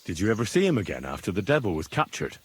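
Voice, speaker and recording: A man playing an earnest, curious medieval adventurer in a video game, asking direct questions in a husky voice. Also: on the low side, big pitch swings, quick pace. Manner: inquisitive, direct, plain-spoken. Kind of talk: dramatic video game dialogue.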